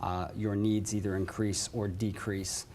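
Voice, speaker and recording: A man's voice: lowish voice, little pitch movement, measured pace, a little mellow, a little hiss or hum. A courteous American man from West Virginia answering interview questions as a nurse manager. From West Virginia, United States. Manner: courteous, says yes ma'am.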